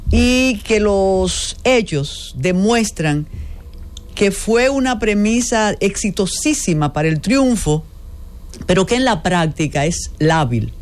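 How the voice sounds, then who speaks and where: A woman with a Dominican accent, a lawyer on a political talk panel, cutting in assertively and slowing down deliberately to press her points. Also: mid-range voice, darkish tone. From Puerto Plata, Dominican Republic. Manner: assertive, cuts in, slow and deliberate when stressing points. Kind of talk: political debate chat.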